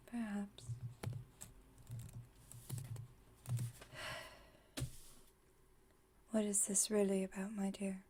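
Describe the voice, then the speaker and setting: A woman playing an aloof, wry vampire friend with a secretly caring side, in a soft, breathy ASMR roleplay, speaking in a dry, teasing, unhurried way. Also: medium pitch. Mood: soft, reflective. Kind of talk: ASMR roleplay, intimate monologue.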